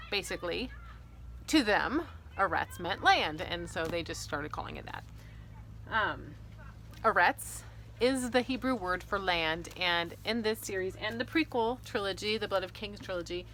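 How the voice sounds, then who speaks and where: The bright, slightly low voice of a chatty woman author filming an explainer at home, faint noise behind her. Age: 30s to 40s. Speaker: fantasy author and video host. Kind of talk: casual explanatory vlog to viewers. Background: faint ambient noise.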